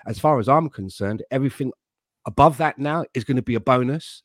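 A man talks football in a measured, careful way, restarting as he thinks aloud. His mid-pitched voice sounds dry and close. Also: dry recording. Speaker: fan panel guest. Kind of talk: casual football fan chat.

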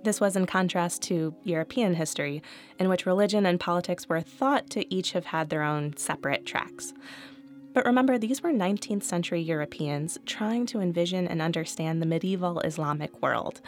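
Woman host narrating a podcast in a low voice. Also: a little mellow. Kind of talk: scripted narration.

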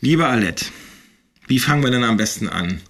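A man's voice: mid-range voice, expressive, normal speed, a bit bright. A man in his forties from northeastern Germany hosting a casual podcast, talking in a rambling way with many fillers and restarts. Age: 40s. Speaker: podcast host.

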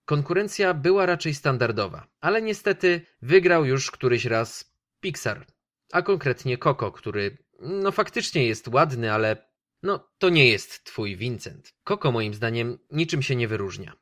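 A man's voice: medium pitch, expressive, measured pace, fairly bright tone. Conversational male radio film reviewer. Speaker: radio show host presenting films.

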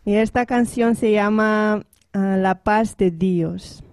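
Slow, giggly woman from the Netherlands. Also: mid-range voice, darkish tone, dry recording. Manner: giggly, laughs often between phrases. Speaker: guest singer. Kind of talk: halting learner's Spanish with translation pauses.

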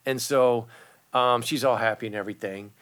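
Man, middle-aged, bright forceful tone, casual storytelling, rambling with fillers. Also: medium pitch, normal speed. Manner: rambling, animated, many fillers.

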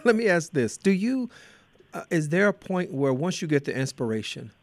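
A man's voice: fairly high pitch, sing-song, moderate pace, dry recording. A man hosting an interview, asking long, hesitant questions that wander before reaching the point. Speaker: interviewer host. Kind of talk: interview conversation.

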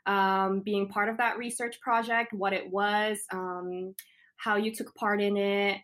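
A woman's voice: mid-range voice, level tone, slow pace, a bit bright. A young woman co-hosting a student podcast, asking questions in a friendly manner with frequent um fillers.

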